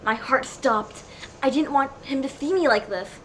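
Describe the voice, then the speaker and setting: A woman's voice: high-pitched, normal speed. A woman narrating a comedic dramatic reading of fan fiction in a mock-serious storybook manner. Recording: clearly noisy home recording.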